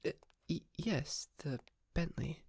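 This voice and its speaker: A man playing a polite, sheepish, wealthy young yandere kidnapper in an ASMR roleplay, speaking softly and hesitantly with stammers and breathy whispered moments. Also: fairly high pitch, lively intonation, slow, exaggerated voice. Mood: sheepish, confessing.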